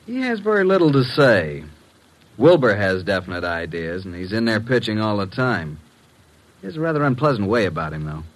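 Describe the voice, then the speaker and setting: An actor playing a breezy, wry detective's assistant, brisk and casual, in an old-time radio drama. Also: male voice, on the low side, very animated, rough recording.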